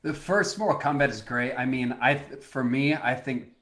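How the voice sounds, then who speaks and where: A man co-hosting a podcast, arguing his point with growing excitement. He talks in quick bursts, laughing as he pushes back and restarts sentences. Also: medium pitch. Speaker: podcast co-host. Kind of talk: casual chat.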